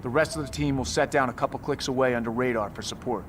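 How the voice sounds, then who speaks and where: A man's voice: medium pitch, fast, a little hiss or hum, lightly acted. A man voicing a tough, driven special forces commander in a video game cutscene, terse and commanding. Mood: controlled, businesslike. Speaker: military squad commander.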